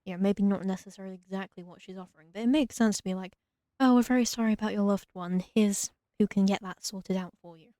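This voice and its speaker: Mellow, wry woman doing game commentary. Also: medium pitch, fast, no echo, clean studio sound. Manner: wry, joking. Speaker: let's player. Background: game music.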